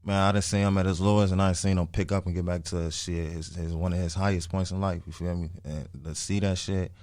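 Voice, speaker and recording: Young man, early twenties. Very low voice, dry close sound, slangy and emphatic interview storytelling. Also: flat delivery, quick pace, darkish tone, dry recording. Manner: emphatic, repeats phrases, frequent swearing. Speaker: interview guest, music artist.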